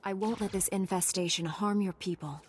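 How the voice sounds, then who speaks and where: A woman's voice: medium pitch, steady tone, brisk, a little hiss or hum, natural voice. A woman playing a principled young Jedi knight in a video game, speaking in a composed, formal, firm manner. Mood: resolute. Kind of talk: scripted video game dialogue.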